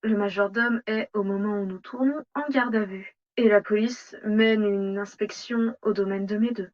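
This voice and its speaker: A woman's voice: mid-range voice, fairly even intonation, normal speed, noisy background, close to an everyday voice. A woman playing an earnest, grave news interviewer in a scripted report. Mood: sober, probing. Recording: dull lo-fi sound.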